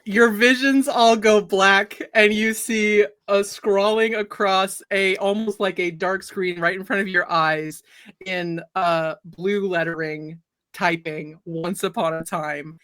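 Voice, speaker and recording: A woman's voice: mid-range voice, steady tone, takes it slowly, fairly bright tone. A woman running a tabletop game over a call, narrating with fillers and frequent laughter. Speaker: game master.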